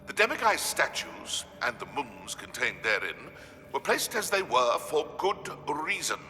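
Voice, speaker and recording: A man's voice: medium pitch, very animated, a little slow, bright, forceful tone, exaggerated voice. A man voicing a stern, pompous letter-writer in a video game, scolding in a haughty way. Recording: long echoing reverb.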